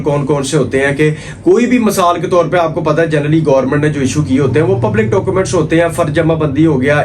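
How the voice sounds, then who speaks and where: A man's voice: on the high side, a little mellow. A man with a Pakistani accent giving an informal law lecture, explaining step by step with repetition, frequent check-in tags and English terms mixed in. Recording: noticeable background noise.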